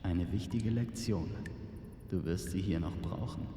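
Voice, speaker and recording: A man playing a calm, sly storyteller guide, an unhurried cryptic mentor in a fantasy audio drama. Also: low-pitched, reverberant, in a large hall. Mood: earnest. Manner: unhurried, composed, teasing.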